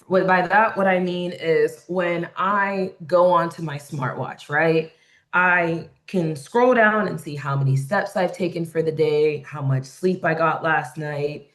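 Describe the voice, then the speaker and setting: A woman with a loud voice facilitating a design webinar, explaining points conversationally and checking in with "right?". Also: deep voice. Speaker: workshop facilitator. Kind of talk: webinar presentation.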